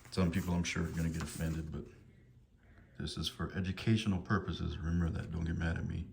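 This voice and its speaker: A man's voice: deep voice, little pitch movement, fast, creaky, darkish tone. A man in his fifties chatting casually to viewers in a rambling, hesitant, amused and apologetic way. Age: 50s. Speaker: vlogger showing books from a collection. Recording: noticeable background noise.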